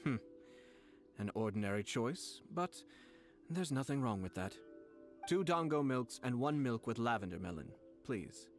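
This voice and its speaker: A composed young nobleman, voiced by a man in a game, his mid-pitched voice calm, measured and refined. Soft music sits faintly behind him. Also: lightly acted. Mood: playful. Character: composed young male noble, clan head. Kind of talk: video game voice acting.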